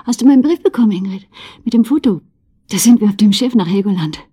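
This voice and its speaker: An actress playing a fragile, pleading woman in a German radio drama, confiding softly and intimately in a half-whisper. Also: medium pitch, expressive, quick pace. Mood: pleading, anxious. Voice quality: breathy, half-whispered, soft.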